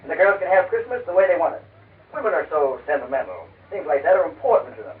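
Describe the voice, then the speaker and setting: A man's voice: a little high, expressive, quick pace, natural voice. An American actor playing a genial, decisive middle-aged father in 1940s radio acting style. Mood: cheerful. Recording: distant, tinny 1940s radio recording.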